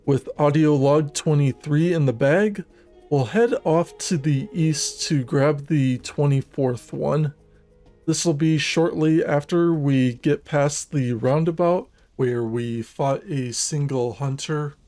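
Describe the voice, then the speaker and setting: A man narrating a scripted video game walkthrough in a measured, methodical, instructional manner. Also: on the high side, mellow, faint music behind.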